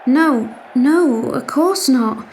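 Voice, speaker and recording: A meek wife, high gentle voice, hesitant and apologetic, audio play. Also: female voice, expressive, drawn-out words, slight background noise, full character voice, low-quality recording. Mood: anxious, placating. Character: timid, placating wife and gentle mother. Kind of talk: naturalistic audio drama acting.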